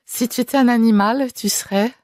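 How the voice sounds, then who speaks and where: A woman's voice: on the high side, brisk, darkish tone, no echo, studio quality. A woman hosting an interview, asking questions in a friendly way and laughing often. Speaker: interviewer host.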